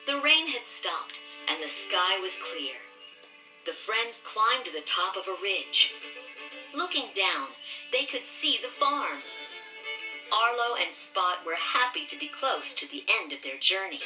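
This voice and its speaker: Slow, lively woman reading a children's storybook. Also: mid-range voice. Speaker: storybook narrator. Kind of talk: scripted, expressive children's read-aloud. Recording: roomy, slightly distant, music behind.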